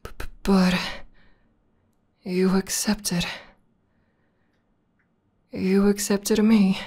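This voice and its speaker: A woman playing a tough tomboy demoness who is a devoted lover, speaking softly and haltingly in an intimate, breathy ASMR roleplay. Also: medium pitch, level tone. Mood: vulnerable, earnest. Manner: soft, breathy, halting and earnest delivery, often dropping to whispers.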